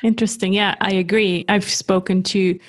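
A woman's voice: mid-range voice, level tone, normal speed, no echo. A woman hosting a podcast, asking her guest questions in an encouraging, chatty way. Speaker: podcast host. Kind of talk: casual interview chat.

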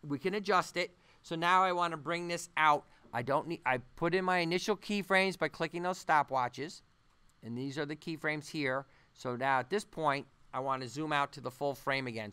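Guy doing a screen tutorial, kinda high bright voice, talks haltingly while he figures stuff out. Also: clear, resonant tone. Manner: halting, thinking aloud as he works. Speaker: animation tutorial instructor. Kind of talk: unscripted software demonstration. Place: voice-over on a screen-recording tutorial.

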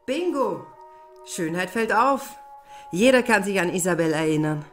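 A woman acting as a blunt, sharp-witted investigator in a crime audio drama. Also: medium pitch, expressive, a little slow, faint music behind, natural voice. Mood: deducing.

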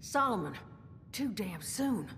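An actress playing a world-weary, guarded bar owner in a video game, with a dry, worldly way of talking. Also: female voice, big pitch swings, takes it slowly, a bit bright. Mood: shocked, upset. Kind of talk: video game dialogue acting. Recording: reverberant game audio with rumbling noise.

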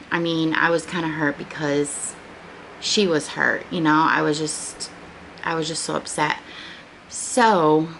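Low-voiced, gravelly American woman vlogger. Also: a bit bright, poor audio. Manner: informal, rambling, conversational. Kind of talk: casual chat to viewers. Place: small living room at home, indoors. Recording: noticeable background room noise.

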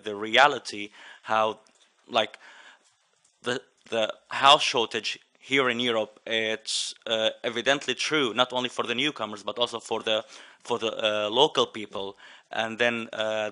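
A young man from Syria, an architect and urban planner, speaking fluently and steadily at a conference desk microphone. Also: medium pitch. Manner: fluent, steady, hands moving as he talks. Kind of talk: conference floor comment. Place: large conference room.

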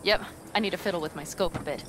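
A woman's voice: lowish voice, fast, noisy background, lightly acted. An actress playing a tough, driven young nomad woman in a video game, speaking in an emphatic, commanding way. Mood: wry, incredulous.

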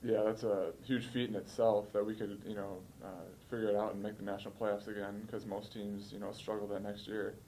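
A man in his early twenties, a college football player giving measured, matter-of-fact answers at a post-game press conference. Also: on the low side, level tone, dark tone, slight background noise, poor audio. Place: indoor press room, seated at a table.

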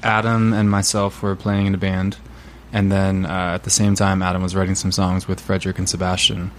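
A man from a band telling how the group formed, relaxed and full of fillers. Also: low voice, a little fast, a little hiss or hum. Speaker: band member. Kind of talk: casual interview answers.

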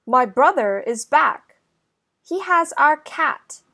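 Very slow, high-voiced young woman reading aloud. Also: animated, bright, forceful tone. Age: young adult. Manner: deliberate, carefully enunciated delivery for young children. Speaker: children's channel host and story reader. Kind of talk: slow, clear children's read-aloud.

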